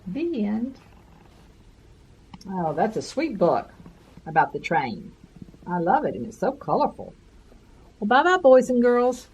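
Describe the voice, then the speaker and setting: A woman in her sixties reading a picture book to young children with deliberate, sing-song emphasis. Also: mid-range voice, big pitch swings, a little mellow, slight background noise, poor audio. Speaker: children's storyteller, host. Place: carpeted room at home.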